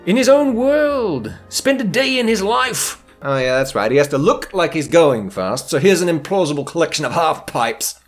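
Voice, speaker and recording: Animated male video essayist with sardonic flair. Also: a little high, normal speed, few pauses, faint music behind. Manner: theatrical, sardonic emphasis. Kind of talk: scripted video essay commentary.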